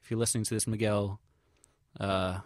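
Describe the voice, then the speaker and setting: A young English man with a fairly low voice talks in a casual audio log, recorded close and dry. He rambles hesitantly through his recollections, using slangy, sweary, self-deprecating wording. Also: flat delivery, normal speed, no room sound. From England. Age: young adult. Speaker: vlogger.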